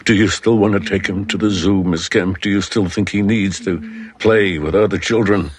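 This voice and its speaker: An actor playing a weary, bitter, protective father in a television drama, speaking gravely and with intensity. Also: sits low, fairly even intonation, lightly acted. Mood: grim, weary. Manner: slow, grave, emotionally intense.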